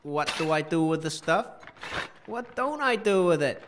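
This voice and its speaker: A man playing an eccentric, friendly junk-collecting wanderer in a comic audio drama, affable and quietly proud as he rambles. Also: on the high side, expressive, measured pace, noisy background. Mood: helpful, chatty.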